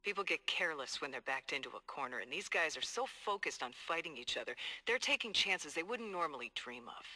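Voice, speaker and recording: An actor playing a determined, hard-boiled police detective dictating earnest, streetwise case logs in a video game. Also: male voice, a little high, lively intonation, brisk, few pauses, pushed and bright, heavily acted. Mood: resolute, confident. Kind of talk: video game audio log monologue.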